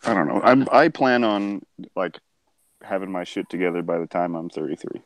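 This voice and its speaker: A man's voice: low-pitched, moderate pace, a little hiss or hum, poor audio. A thirty-year-old man in a casual group chat, blunt and crude, rambling with lots of fillers. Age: 30s.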